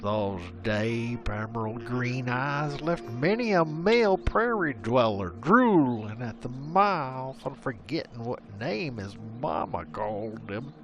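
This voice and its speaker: A man's voice: mid-range voice, expressive, slow pace, few pauses, music in the background. A man narrating a frontier legend as a scripted story, with rustic, old-timey storyteller phrasing.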